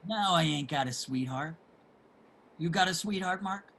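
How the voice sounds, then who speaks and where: A man acting a husky, forceful streetwise homeless guy. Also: on the high side, normal speed. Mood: friendly. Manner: salty, emphatic, colloquial street talk. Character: streetwise homeless man, generous, opinionated, foul-mouthed. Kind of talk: dramatic table reading of a stage play.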